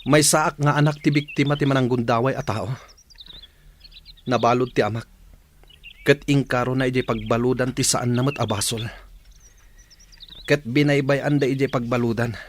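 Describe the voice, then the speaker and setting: A man's voice: medium pitch, fairly even intonation, moderate pace, long pauses, lightly acted. Radio drama lead: male actor as earnest, determined young man, Ilocano accent, medium register, slightly noisy track. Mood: pleading, earnest. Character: earnest young man seeking justice for his imprisoned father.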